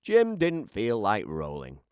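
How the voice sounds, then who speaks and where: A man narrating a children's picture book as an animated bedtime read-aloud. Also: on the low side, slow pace, clear, resonant tone, dry recording, studio quality. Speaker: storybook narrator.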